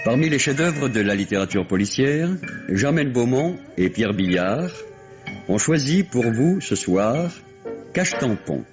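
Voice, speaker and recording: Music plays clearly behind a man on a dull old radio recording. He presents like a classic drama announcer, formal and measured, with a very animated melody to his slow delivery. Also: mid-range voice. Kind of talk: vintage radio credits announcement. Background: prominent music.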